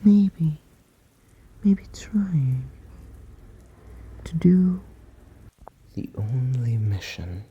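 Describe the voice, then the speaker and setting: A woman softly narrating an ASMR bedtime story in a hushed, breathy whisper, with long pauses between short phrases. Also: extremely low pitch, sing-song. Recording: muffled sound with rain behind. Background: soft rain.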